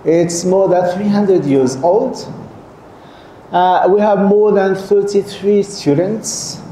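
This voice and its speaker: A man's voice: high voice, steady tone, takes it slowly, dark tone. Middle-aged male lecturer, heard in an echoey lecture hall with a faint hum, addressing students and checking in with them often. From Algeria. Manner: keeps checking in with the audience, repeats and restarts phrases. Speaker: guest professor lecturing students. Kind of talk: seminar lecture.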